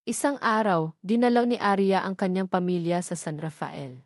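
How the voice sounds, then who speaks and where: A woman reading scripted dramatic narration of a love story in a measured, sentimental manner. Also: mid-range voice, darkish tone, no echo, clean studio sound.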